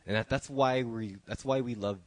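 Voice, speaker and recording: A man's voice: mid-range voice, steady tone, moderate pace, a little mellow, no echo. A man co-hosting a music podcast, congested and a little nasal from allergies, rambling and joking with many restarts. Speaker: podcast co-host. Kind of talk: casual podcast banter.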